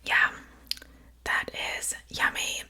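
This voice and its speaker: A woman whispers slowly in a breathy, strikingly bright and sibilant voice. She performs an ASMR roleplay as a wicked yet courteous witch. Her manner is gentle and softly chatty, never hurried. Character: wicked but polite witch seasoning her victim for stew.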